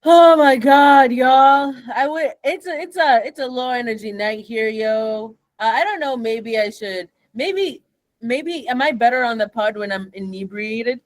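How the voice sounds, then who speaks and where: A blunt young woman with a Kenyan accent co-hosts a casual podcast, and her voice sits a touch high. She swears freely and gets animated when she rants. The sound is dry and close to the mic. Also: a little slow, no room sound. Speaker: podcast co-host. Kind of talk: casual chat.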